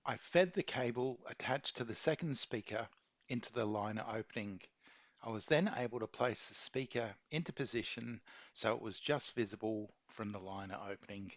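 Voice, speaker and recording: A man reading a scripted how-to narration in a measured, methodical way. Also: on the low side, no echo. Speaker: video maker giving an installation tutorial.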